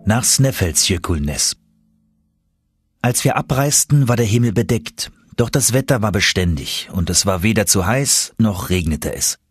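Low, husky male audiobook narrator. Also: lively intonation, a little slow, soft background music. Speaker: audiobook reader. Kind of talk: scripted first-person audiobook narration of an adventure novel.

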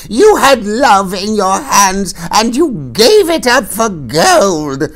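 A man's voice: big pitch swings, slow pace, full character voice. Man doing a shrieking ancient crone. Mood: furious. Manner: shrill shouting tirade. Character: ancient bent old woman, furious accuser.

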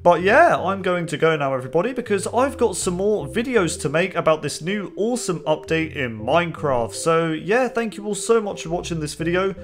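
A young man covering game news as a voice-over, his voice slightly hoarse from a coming cold. He pitches a bit high and swings his intonation a lot. Faint background music plays under him. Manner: upbeat, lively, repeats "as you can see". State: hoarse, getting sick. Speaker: gaming channel host.

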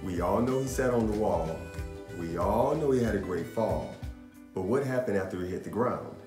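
A man in his thirties reading a picture book aloud to young children, with deliberate, dramatic storyteller pauses. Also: fairly low pitch, lively intonation. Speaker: children's storytime host and reader. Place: small indoor room in a house. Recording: roomy echo, faint music.

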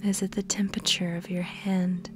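A woman narrating a scripted guided meditation in a soft, breathy, partly whispered voice, measured and instructional, with pauses between phrases. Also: on the low side, flat delivery. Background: background music.

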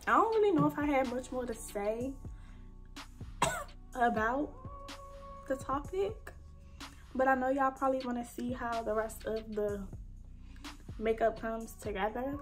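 A woman's voice: fairly high pitch, a little slow, pauses often, music in the background. Chatty young woman, stuffy-nosed. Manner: chatty, heartfelt, colloquial. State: stuffy nose from allergies. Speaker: beauty vlogger. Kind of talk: casual chat while doing makeup. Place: bedroom, indoors.

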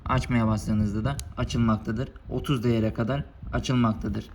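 Man calmly demonstrating a gadget. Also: medium pitch, normal speed, slight background noise. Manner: steady, formal explaining. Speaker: motorcycle shop presenter. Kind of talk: product demonstration. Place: indoors, under a building.

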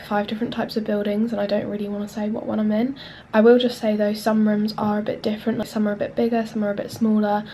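Nasal, gravelly young Englishwoman. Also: on the high side, warm tone, slight background noise. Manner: chatty, filler-heavy, loose and unhurried. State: nasal from a cold. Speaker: vlogger. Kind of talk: casual room tour chat. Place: small student bedroom, indoors. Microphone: handheld camera mic.

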